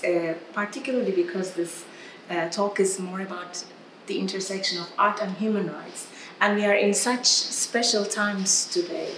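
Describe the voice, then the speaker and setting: A woman's voice: medium pitch, fairly bright tone. A middle-aged woman with a Turkish accent, moderating a panel as a curator and searching for her words. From Turkey. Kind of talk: reflective panel discussion. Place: gallery room, indoors. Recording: echoey room, faint background noise.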